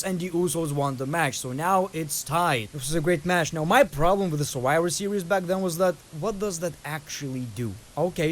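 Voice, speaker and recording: Lithuanian-accented man, blunt wrestling reactor. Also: fairly high pitch. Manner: blunt, keeps addressing viewers as "people". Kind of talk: casual reaction commentary.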